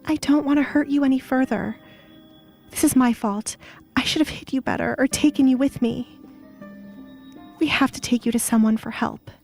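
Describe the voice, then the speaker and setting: A woman's voice: high-pitched, normal speed, creaky, long pauses, darkish tone, soft background music, pitched above the performer's own voice. A woman voicing a mistreated young woman, tender yet defiant, in an audio story. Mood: tender, anxious.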